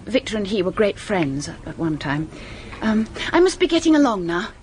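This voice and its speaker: A woman's voice: mid-range voice, big pitch swings, normal speed, darkish tone, slight background noise, lightly acted. An actress playing an earnest young woman in a radio drama, polite and a little hesitant, with an English accent. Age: young adult. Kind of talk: BBC radio drama acting.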